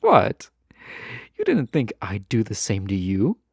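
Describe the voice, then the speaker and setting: A man plays a lovestruck fantasy nobleman who talks slowly and haltingly in formal, old-fashioned phrases. He is tender and wistful with a teasing streak. The recording is close and dry, made for a romantic audio drama. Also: fairly low pitch, huge pitch swings, gravelly, darkish tone, slightly rough, no echo, exaggerated voice. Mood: playful, flustered. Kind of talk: romantic audio roleplay acting.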